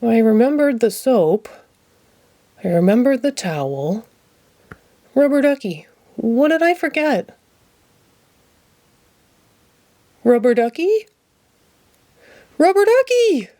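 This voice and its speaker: A woman's voice: fairly high pitch, sing-song, measured pace, long pauses, dark tone, voice pushed up. A woman voicing a naive, affectionate young boy puppet from a children's storybook in an earnest, exclaiming way. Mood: puzzled, alarmed. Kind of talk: children's storybook read-aloud.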